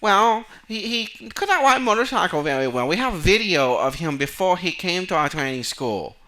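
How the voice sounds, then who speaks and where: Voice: medium pitch, moderate pace, low-quality recording. A performer playing an exasperated male motorcycle instructor who speaks broken English, in a comedy sketch interview. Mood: earnest. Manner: broken English with dropped articles, excitable.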